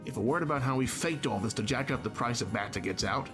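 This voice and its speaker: A man's voice: medium pitch, fast talker, over music, close to an everyday voice. A man playing a scheming, self-assured corporate director in a fan comic dub, dismissive and businesslike. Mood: aggrieved, scheming.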